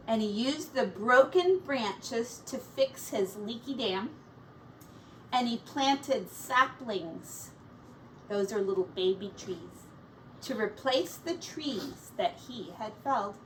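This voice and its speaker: High-pitched, unhurried woman reading a storybook. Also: pushed and bright, recorded from a distance, slight background noise, rough recording. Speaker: teacher reading aloud to young children. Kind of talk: storybook read-aloud with simple asides.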